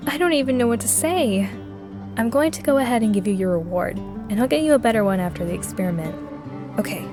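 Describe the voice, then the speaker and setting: A woman plays a caring female doctor in a video game. Her voice sits a little high. Music plays clearly behind her lines. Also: close to an everyday voice. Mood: pleasantly surprised. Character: caring female doctor running treatment experiments. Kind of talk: video game character dialogue.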